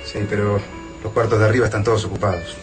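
An actor with an Argentine accent playing a cocky, flirtatious resistance leader in a sci-fi radio drama. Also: male voice, lowish voice, fairly even intonation, fast talker, over music, close to an everyday voice. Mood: flirtatious, tired.

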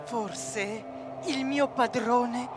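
Slow, high-voiced musing servant played by a woman. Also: music in the background. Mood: wondering. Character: puzzled, chatty servant musing about the master. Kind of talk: stage drama monologue, radio broadcast. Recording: clear background rumble and noise.

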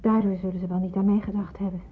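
An actress playing a young refugee woman in hiding in a radio drama, soft, hesitant and earnest. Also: medium pitch, level tone, quick pace, breathy edge, close to an everyday voice. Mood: sorrowful, subdued. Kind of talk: Dutch radio drama acting. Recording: muffled, phone-like old radio recording.

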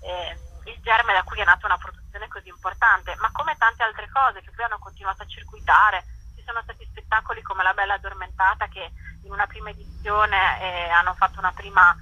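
A woman's voice: medium pitch, steady tone, a little fast, bright, forceful tone, breathy edge, highs cut off. A woman explaining things thoughtfully and emphatically in an interview, as a festival director.

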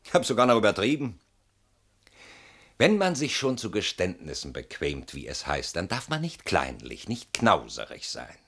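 A man's voice: lowish voice, fairly bright tone. Brooding man with sweeping intonation. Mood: mocking, confessional. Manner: brooding, mocking, swinging between muttering and outbursts. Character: weary, bitter man haunted by a betrayed old friend. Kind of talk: theatrical German radio drama monologue.

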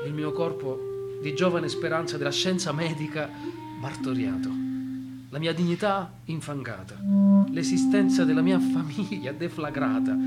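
Wronged young doctor over loud music. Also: male voice, a little high. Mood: anguished indignation. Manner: declamatory, solemn, addressing the listener. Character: dead young male doctor, wronged and indignant, testifying. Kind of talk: radio drama monologue. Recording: loud guitar music under the voice. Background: guitar music.

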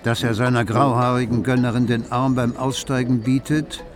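A man's voice: medium pitch, level tone, moderate pace, lightly acted. A man giving scripted literary narration as the storyteller of a radio play. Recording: music behind the voice. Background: music.

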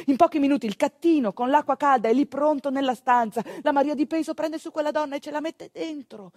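A woman performing a theatrical monologue as a storyteller of rural midwifery, with a dramatic, emphatic delivery full of deliberate pauses. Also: high voice, no echo, studio quality, close to an everyday voice. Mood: urgent, excited. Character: female storyteller recalling a rural midwife's work. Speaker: stage actress narrating a monologue.

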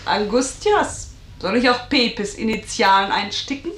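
High-voiced, sharp-tongued sister. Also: female voice, lively intonation, measured pace. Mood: pointed, dry. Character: sharp-tongued young sister, teasing and resigned. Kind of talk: radio drama acting. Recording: roomy, echoing radio drama sound.

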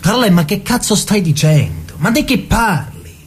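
Male, high voice, as a blunt, skeptical crime sidekick for radio drama, very animated intonation. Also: moderate pace, husky, full character voice. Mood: exasperated. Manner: blunt, slangy. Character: blunt, foul-mouthed, skeptical criminal sidekick. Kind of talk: naturalistic radio drama dialogue.

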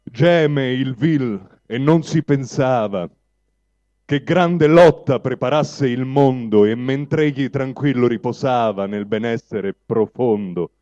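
Guy presenting poetry, slow and measured, mellow voice, muffled lo-fi live recording. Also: mid-range voice, no room sound. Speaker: poetry reader at a public reading event. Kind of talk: spoken introduction and declaimed recitation of poetry. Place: live reading at a public venue. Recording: dull, lo-fi live event recording.

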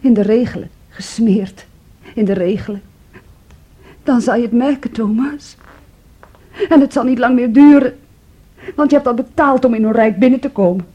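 An actress in a Dutch radio play playing an intense, wounded, possessive young woman, brooding and reproachful, with a breathy delivery. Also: a little high, normal speed, pauses often, dark tone, natural voice. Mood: bitter, accusing. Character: Andrea, intense, wounded, possessive young sister clinging to her brother.

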